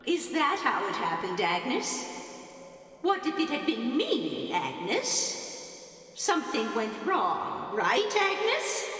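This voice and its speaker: An actress as a haunting older widow, drenched in echo and far from the mic. Her high, slow, pleading questions repeat and insinuate a dark secret in a mystery drama. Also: huge pitch swings, heavily acted. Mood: accusing, pleading. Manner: pleading, repetitive, swooping questions. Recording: echoing hall, distant from mic.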